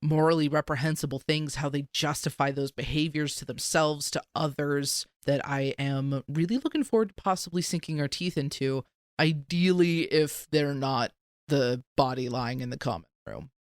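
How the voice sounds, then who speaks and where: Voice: medium pitch, moderate pace, no room sound, clean studio sound. A podcast co-host talking casually in an informal fan discussion.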